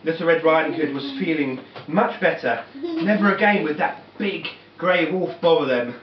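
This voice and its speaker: A woman's voice: sits low, lively intonation, normal speed, recorded from a distance. A woman telling a children's story as an amateur puppet-show narrator, sometimes stumbling over her words. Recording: dull, noisy, echoey home-video from afar.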